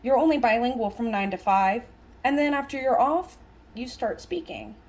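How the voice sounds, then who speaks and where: A woman with a slightly high voice interprets a lecture aloud. She talks conversationally, breaking her thoughts into short, halting phrases and leaning on the key words. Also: darkish tone. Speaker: sign language interpreter voicing a signed lecture.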